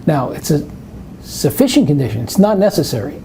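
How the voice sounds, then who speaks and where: Older New York man, mellow and a bit faint hiss behind; math presenter given to rambling anecdotes. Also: on the high side, big pitch swings, fairly quick. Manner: rambling, anecdotal, self-interrupting. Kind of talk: live webinar Q&A.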